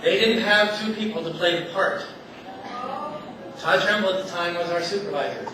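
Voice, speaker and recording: A man's voice: high-pitched, moderate pace. A man telling rambling, self-deprecating stories to a live audience from a stage, laughing at his own jokes. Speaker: stage storyteller at a community event. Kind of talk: casual stage storytelling. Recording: echoey hall with noise from far off.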